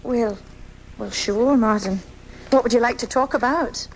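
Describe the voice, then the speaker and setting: A woman's voice: fairly high pitch, measured pace, poor audio. A woman playing a kind, encouraging young schoolteacher in a 1980s live radio drama, recorded off the air at home. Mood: gently reassuring.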